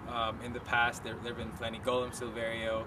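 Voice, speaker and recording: A young man from the Philippines, a student golfer, answering interview questions in a soft, hesitant way with many pauses. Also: mid-range voice, completely flat delivery. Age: young adult. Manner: soft-spoken, hesitant with frequent ums. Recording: distant mic, street noise.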